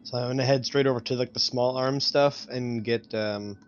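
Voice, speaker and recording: A man of average pitch talks casually through his moves in a tabletop game. The sound is dull and lo-fi, with noise behind it. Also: steady tone, moderate pace. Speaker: player in a tabletop roleplaying game.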